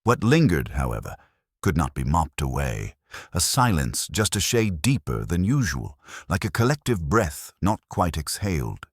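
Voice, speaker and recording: A man narrating a scripted mystery story in a wry, deliberate, literary manner. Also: extremely low pitch, very animated, husky, dry recording, studio quality.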